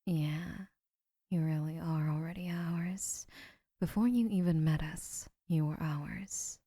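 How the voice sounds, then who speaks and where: A woman playing a doting, obsessive young woman who keeps the listener, speaking in a soft, whispery, sweetly possessive way in an audio roleplay. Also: deep voice, fairly even intonation, takes it slowly, no room sound, clean studio sound.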